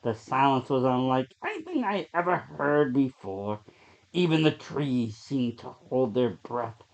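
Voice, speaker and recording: A man reading a horror story aloud as a dramatic narrator, with deliberate, suspenseful delivery. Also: mid-range voice, big pitch swings, gravelly. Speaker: story reader. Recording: dull, lo-fi sound.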